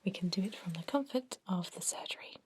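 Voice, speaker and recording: A woman whispering softly and reassuringly in an ASMR roleplay as a kindly vampire doctor. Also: lowish voice, expressive. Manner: soft, reassuring, unhurried whisper. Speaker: ASMR performer playing a doctor.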